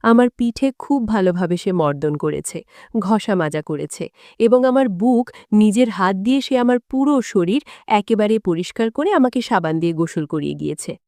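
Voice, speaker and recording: A woman's voice: mid-range voice, expressive, normal speed, darkish tone, dry recording, studio quality. A woman narrating a dramatic first-person story as a storyteller.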